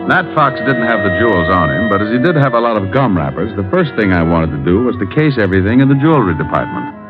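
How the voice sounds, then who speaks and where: An actor playing a cool, wisecracking private detective who also narrates, in an old radio drama. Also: male voice, lowish voice, very animated, over music. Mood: narrating. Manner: dry, teasing and unhurried. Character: wisecracking male private eye, cocky and cool. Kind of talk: 1950s radio drama acting. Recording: muffled old radio broadcast.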